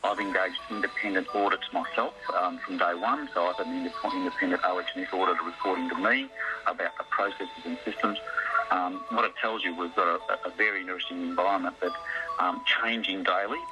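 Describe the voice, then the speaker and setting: A man's voice: deep voice, fairly even intonation, a little fast, over music. A man, an official giving a spoken statement, heard through a noisy radio. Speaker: fire services official. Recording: noisy radio playback of soundbite.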